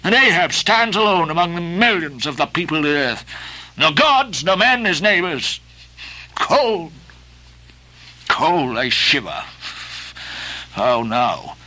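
A man voicing an old, obsessed sea captain, declaiming archaic lines with booming passion. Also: big pitch swings, a little slow, bright, forceful tone, heavily acted. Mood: defiant. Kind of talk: audiobook character voicing.

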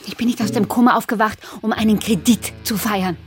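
An actress playing a detached, stubborn woman in a radio drama, speaking deliberately and matter-of-factly. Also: mid-range voice, steady tone, soft background music, natural voice. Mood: defiant. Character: detached, stubborn woman estranged after waking from a coma. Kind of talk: radio drama acting, introspective monologue and dialogue.

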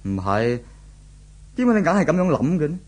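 An actor playing a brooding, distraught young office worker in a 1970s Cantonese radio drama, with a husky voice and a Hong Kong accent. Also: male voice, on the high side, very animated, a little fast, a little mellow. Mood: despondent. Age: young adult.

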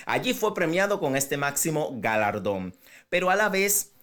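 Una voz de radio de tono medio que presenta noticias de farándula y patrocinios en un programa matinal, hablando con cercanía al público. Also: mid-range voice. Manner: chatty, conversational asides to co-host and audience. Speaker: radio host. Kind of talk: entertainment news and sponsor reads on a morning radio show. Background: background music.

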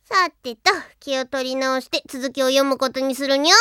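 High cutesy bossy cat-girl princess, played by a woman. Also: normal speed, very noisy, close to an everyday voice. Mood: bossy, irritated. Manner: cutesy, self-important, ends sentences with a cat-like nya. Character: cute bossy alien cat-girl princess, vain and lazy. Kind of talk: anime audio drama acting, reading a diary aloud.

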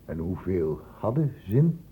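An actor playing a stern, insistent doctor in a radio play, blunt and urgent, in a lecturing way. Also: male voice, deep voice, sing-song, unhurried, slightly rough, exaggerated voice. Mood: stern, probing. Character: stern, insistent male doctor questioning young people. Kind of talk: Dutch radio play acting. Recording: muffled old radio play recording.

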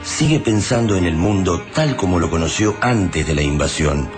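An actor with an Argentine accent playing a solemn, poetic storyteller narrating a radio drama. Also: male voice, sits low, animated, moderate pace. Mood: ominous, poetic. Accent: Argentine Rioplatense. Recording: dramatic music under the voice.

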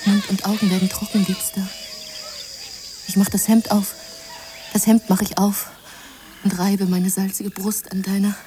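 A woman's voice: mid-range voice, flat delivery, unhurried, pauses often, close to an everyday voice. An actress playing an impassioned woman who tells a lurid adventure fantasy in a melodramatic, intense radio play manner, at times whispering. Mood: tender, grieving. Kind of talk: radio play narration and acting. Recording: noisy jungle ambience behind.

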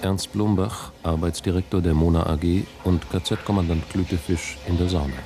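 An impersonal male announcer in a radio drama. He gives titles formally in a deep, creaky voice. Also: fairly even intonation, moderate pace. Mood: neutral, formal. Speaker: scene announcer.

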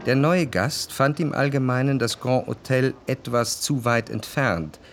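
An actor playing a reflective first-person narrator, delivering measured literary prose for a radio drama. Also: male voice, medium pitch, vocal fry, over music. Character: reflective male narrator recalling his memories, literary and measured.

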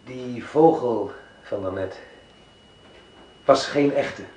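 An actor playing a recovering sick man in a radio play, speaking quietly and measuredly with a dry, pointed edge. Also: mid-range voice, slow pace, a little hiss or hum, close to an everyday voice, low-quality recording. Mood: quiet, wary. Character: convalescent man, quiet, watchful and suspicious.

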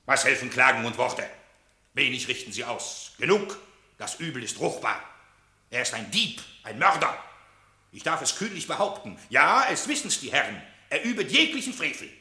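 An actor playing a fierce, scornful panther accuser, declaiming forcefully in a verse radio drama. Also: male voice, mid-range voice, very animated, normal speed, echoey room. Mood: scornful, outraged.

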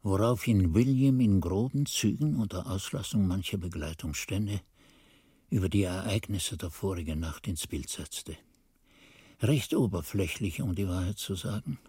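An actor playing a reflective old monk narrating grim memories in a radio drama, with a husky, mellow voice and literary delivery. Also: male voice, sits low, moderate pace, no echo, studio quality. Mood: wry, reflective.

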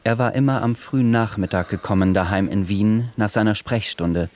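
A man narrating a radio drama in a calm, measured way. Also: fairly low pitch.

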